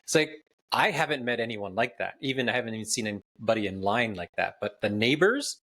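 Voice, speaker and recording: A man's voice: lowish voice, expressive, fairly quick, fairly bright tone. A man hosting a podcast interview, asking long, conversational questions. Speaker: podcast host.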